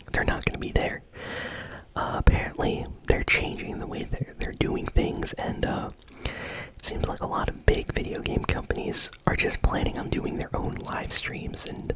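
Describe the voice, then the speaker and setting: An ASMR host speaks entirely in a breathy whisper. The delivery is casual and chatty, like someone sharing gaming news with friends in a hushed, unvoiced voice. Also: measured pace, low-quality recording.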